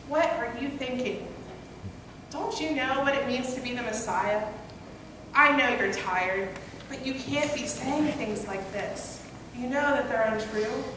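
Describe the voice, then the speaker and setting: A young woman pastor at a church pulpit, preaching a first-person story sermon in an earnest, conversational storytelling manner. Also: high voice. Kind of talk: first-person dramatic monologue sermon as Peter. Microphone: gooseneck pulpit mic, far camera. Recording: echoey hall, distant and noisy.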